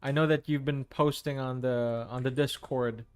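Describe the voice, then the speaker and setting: A man's voice: mid-range voice, steady tone, moderate pace, clear, resonant tone, dry recording. A man hosting a live art stream, reading out chat messages and answering them casually, with some hesitant restarts. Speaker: livestream host. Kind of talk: casual chat with viewers while painting.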